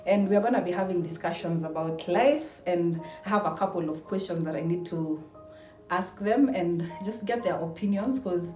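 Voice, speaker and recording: A woman's voice: fairly low pitch, normal speed, a little mellow. A woman, chatting casually and leading a discussion in a house. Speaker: content creator posing questions. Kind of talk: casual chat. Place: indoors in a house. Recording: roomy echo, distant mic, faint music. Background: faint music.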